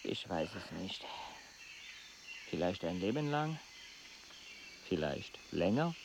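A man in a radio play acting a weary travel companion who narrates in a measured, reflective way. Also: low voice, big pitch swings, background noise, heavily acted. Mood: weary, resigned.

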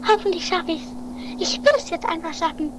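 A very high-pitched theatrical lady daredevil. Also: full character voice. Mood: polite, anxious. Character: theatrical, earnest lady tightrope walker facing a record attempt. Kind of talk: amateur improvised comic radio play. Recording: noisy home-made 1980s radio play recording.

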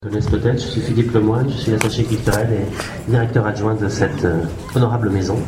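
A man's voice: fairly low pitch, steady tone, fast talker. A man giving a formal welcome speech at an exhibition opening, with hesitations and repeated words. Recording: echoey hall with background noise.

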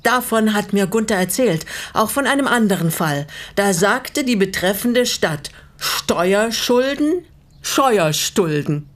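An elderly woman in a radio play, composed and shrewd. Her pitch is middling. She speaks in measured, probing phrases, with a quiet insistence on justice. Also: animated. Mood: amused, composed.

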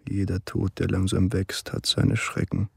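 A guarded, gravelly farmhand. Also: male voice, low-pitched, completely flat delivery, measured pace, darkish tone, no echo, studio quality, heavily acted. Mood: cold, ominous. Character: guarded, cold farmhand, terse and secretive. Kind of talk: literary radio drama acting.

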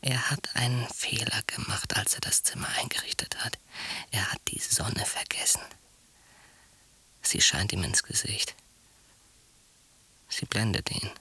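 An actor playing a watchful, paranoid psychiatric patient in a radio drama, muttering an obsessive inner monologue in a breathy, hissing, hushed voice. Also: male voice, lowish voice, measured pace, long pauses, no room sound, whispered, full character voice. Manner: hushed, obsessive, clipped sentences.

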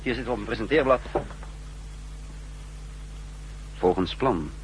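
A man's voice: on the low side, fast talker, close to an everyday voice. An actor playing a secret agent who narrates his own mission in an urgent, exasperated manner, in 1960s radio drama style. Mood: tense, controlled. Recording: hissy, humming 1960s radio recording.

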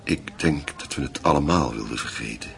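A man playing a middle-aged, passionate and frustrated lover in a radio drama, speaking in an earnest, halting, intimate way. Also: rumbling low, gravelly, a bit bright, slight background noise, exaggerated voice. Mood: reflective. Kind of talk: naturalistic radio drama acting.

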